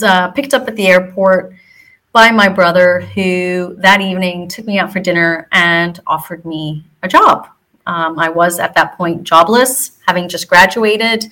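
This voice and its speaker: A woman in her forties with an accent that drifts between American and British hosts her podcast. Her voice is bright and forward, and she tells her story in a relaxed way, filling pauses with um. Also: fairly low pitch. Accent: mixed American and British. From Hong Kong. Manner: relaxed, fillers like um between phrases. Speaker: podcast host. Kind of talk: scripted podcast intro then casual storytelling.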